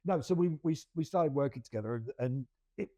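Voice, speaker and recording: A man's voice: medium pitch, animated, a little fast, mellow, dry recording. A middle-aged man, co-hosting a casual podcast and rambling through many restarts with self-deprecating laughs. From England. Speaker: podcast co-host. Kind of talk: casual chat.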